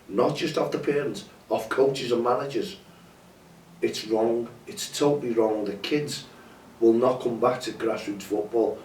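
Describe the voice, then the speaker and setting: A man's voice: mid-range voice, darkish tone, recorded from a distance, a little hiss or hum. Congested man making an earnest appeal. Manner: earnest, pleading, repeats himself. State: bunged up with a cold and sore throat. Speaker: campaign host. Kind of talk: impassioned appeal to camera. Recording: echoey, mic some way off, faint ambience.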